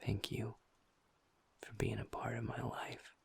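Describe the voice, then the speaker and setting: A man voicing a happy-go-lucky young male werewolf boyfriend in an intimate audio roleplay, talking softly and earnestly to his partner. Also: medium pitch, flat delivery, unhurried, full character voice. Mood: tender, affectionate. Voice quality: soft, breathy, half-whispered. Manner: earnest, affectionate, talks to the listener as partner.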